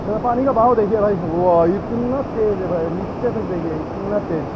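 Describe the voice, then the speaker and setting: A high-pitched man talks casually to his camera outdoors, exclaiming and repeating short phrases. The sound is dull, with loud river water rushing behind him. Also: very noisy. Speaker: vlogger. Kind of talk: casual outdoor vlog commentary. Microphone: handheld camera microphone.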